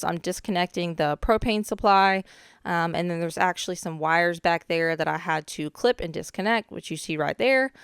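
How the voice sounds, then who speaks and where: A young woman, a little hoarse from a cold, casually narrating her own renovation footage with chatty asides. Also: fairly low pitch, no room sound, studio quality. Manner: chatty, relaxed, with frequent "um" asides. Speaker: DIY renovation vlogger.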